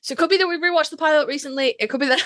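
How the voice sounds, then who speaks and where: A woman's voice: high voice, rapid-fire, a bit bright, no echo, clean studio sound. A woman co-hosting a casual fan podcast, chatting in a sweary, gushing, self-mocking way. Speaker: podcast co-host.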